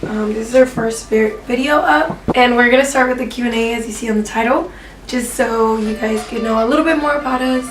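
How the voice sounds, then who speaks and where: A young woman from Peru hosting a casual question and answer vlog, talking in a relaxed way with many fillers. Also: on the high side, a little fast, reverberant, recorded from a distance, noisy background. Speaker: vlogger co-host. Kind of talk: casual Q and A chat.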